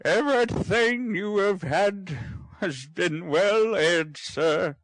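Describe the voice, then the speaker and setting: A man's voice: high-pitched, slow, no room sound, pitched above the performer's own voice. A man voicing a proud, prim elderly housekeeper in a storybook reading. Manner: prim, emphatic.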